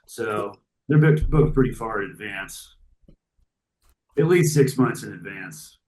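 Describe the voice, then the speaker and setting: A man, a guest musician chatting casually from a hotel room over a video call, relaxed and rambling with lots of fillers. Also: lowish voice, a little slow, rough recording. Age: 40s. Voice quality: gravelly. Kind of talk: casual interview chat.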